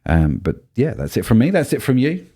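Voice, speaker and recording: A man co-hosting a podcast in casual chat, his deep baritone made rougher by a cold, thinking aloud with many ums and restarts. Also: big pitch swings, fairly quick, mellow. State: voice low and rough from a cold spell. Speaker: podcast co-host.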